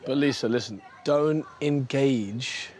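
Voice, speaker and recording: A tired man who says he is losing his voice, calmly advising his wife in casual chat. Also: mid-range voice, slow pace, noisy background. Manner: calm, advising. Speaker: husband. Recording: background music.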